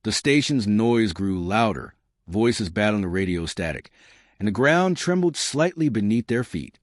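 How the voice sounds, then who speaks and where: A man narrating a scripted horror story in a measured, dramatic way. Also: on the low side, no room sound, studio quality.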